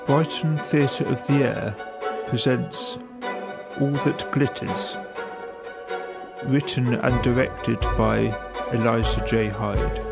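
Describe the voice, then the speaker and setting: A man's voice: medium pitch, steady tone, slow, creaky, long pauses. A man announcing the credits of a radio play in a scripted, formal announcement style. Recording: loud music under voice. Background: loud background music.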